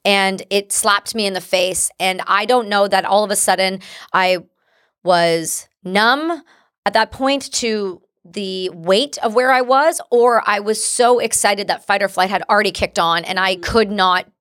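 A woman's voice: mid-range voice, a little slow, fairly bright tone. A Canadian woman in her thirties, a guest on a talk show, telling her own story in a blunt, vivid and emphatic way. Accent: Canadian English. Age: 30s. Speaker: guest, military veteran. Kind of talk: personal storytelling in conversation.

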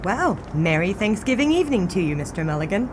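A woman's voice: mid-range voice, big pitch swings, fairly quick, background noise, close to an everyday voice. An actress playing a breezy, exasperated young society woman of the 1920s in a period audio drama. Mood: friendly.

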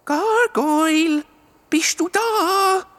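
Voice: mid-range voice, lively intonation, normal speed, fairly bright tone, husky, dry recording, voice pushed up, exaggerated voice. A storyteller voicing a shy purple elf who explains things hesitantly but eagerly.

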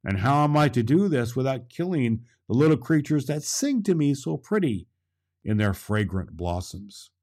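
An earnest, gentle young storybook hero, as a male reader plays him. He speaks in formal, pleading phrases with a mid-range voice that rises and falls expressively. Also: mid-range voice, big pitch swings, normal speed.

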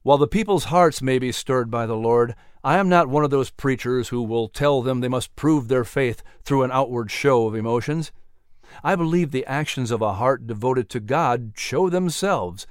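A man voicing a gentle, earnest colonial preacher who speaks in a calm, measured, persuasive way. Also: mid-range voice, smooth, no echo, clean studio sound, lightly acted.

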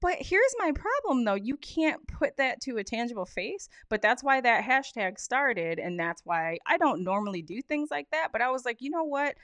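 A woman in her thirties chatting casually as a podcast co-host, animated and opinionated, often acting out what other people say. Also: fairly high pitch, moderate pace, hardly any pauses, dry recording. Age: 30s. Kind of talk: casual conversational chat with some swearing.

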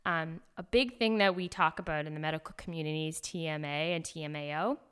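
A woman's voice: deep voice, fast talker, a bit bright, clean tone. A woman doctor, a guest on an interview, explaining medical terms step by step in an earnest way.

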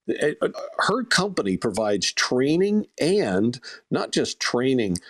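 Man, podcast host, low voice, upbeat promotional delivery, dry close sound. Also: a bit bright, no room sound. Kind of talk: live audio show hosting.

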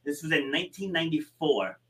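A man in his forties telling stories in a relaxed podcast conversation, laughing easily. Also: fairly high pitch, a little fast. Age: 40s. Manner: storytelling, animated, laughs easily. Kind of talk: casual conversation.

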